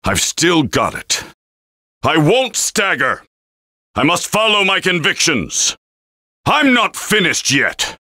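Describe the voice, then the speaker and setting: A man voicing a proud old male general and veteran mentor in a video game, gruff and commanding. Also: a little high, big pitch swings, slow pace, long pauses, pushed and bright, no room sound, studio quality, heavily acted. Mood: defiant. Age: older. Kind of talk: game voice clips.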